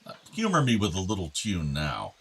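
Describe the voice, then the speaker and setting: An actor playing an ailing, tender older father in a radio play, reflective and gently earnest. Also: deep voice, huge pitch swings, normal speed, vocal fry, slight background noise, exaggerated voice. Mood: fond, wistful. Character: ailing, tender, wistful aging father of two grown sons.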